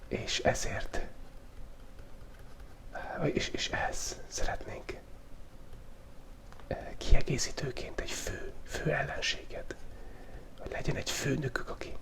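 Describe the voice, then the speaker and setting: A man hosting a relaxed ASMR drawing video, whispering in a loose, playful way and thinking aloud as he sketches, close to the microphone. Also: a little high, pauses often. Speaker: channel host. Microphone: close studio microphone picking up pen tablet.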